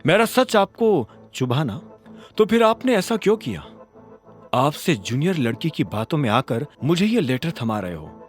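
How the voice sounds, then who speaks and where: A man plays a cocky young hero who talks back with cool sarcasm and calm defiance. His voice is fairly high and expressive. This is an audiobook character voice. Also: a little slow, faint music behind. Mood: sarcastic. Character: cocky, defiant young male office worker.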